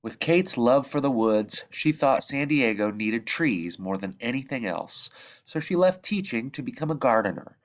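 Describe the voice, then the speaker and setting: A man reading a children's picture book aloud in measured phrases that drop softly at the ends of sentences. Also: mid-range voice, animated, muffled recording. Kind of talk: read-aloud storybook narration.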